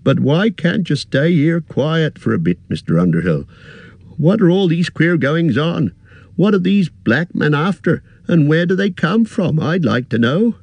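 A man's voice: on the high side, very animated, a little slow, darkish tone, breathy edge, dry recording, highs cut off, voice pushed up, heavily acted. A man reading aloud, voicing a fussy, anxious middle-aged male innkeeper who chatters in a rustic way. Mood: alarmed.

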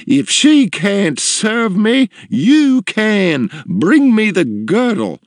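A man voicing an imperious, demanding older woman in a story, with drawn-out, commanding words. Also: high-pitched, big pitch swings, dry recording, clean studio sound, voice pushed up, exaggerated voice. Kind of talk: storybook character voice in narration.

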